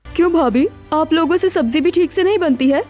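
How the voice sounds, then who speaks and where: A woman's voice: extremely high pitch, a little fast, darkish tone, soft background music. A woman voicing a haughty, nagging young sister-in-law in a cartoon, accusing and entitled.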